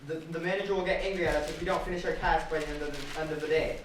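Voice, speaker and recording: A man playing a resigned, obedient worker who clings to his orders, speaking stiffly and deliberately in an amateur stage play. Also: a little high, steady tone, close to an everyday voice. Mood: dutiful. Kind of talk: amateur stage one-act play, absurdist dialogue. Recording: very echoey hall, distant mic, faint noise.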